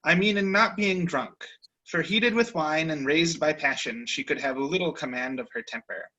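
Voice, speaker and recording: A man narrating a comic period story in a wry, deliberate way over a video call. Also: fairly high pitch, pushed and bright, dull audio.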